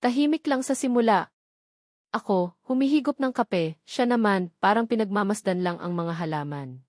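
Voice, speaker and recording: A woman narrating a first-person romance story in a gentle, reflective manner into a close mic. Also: mid-range voice, moderate pace, dry recording.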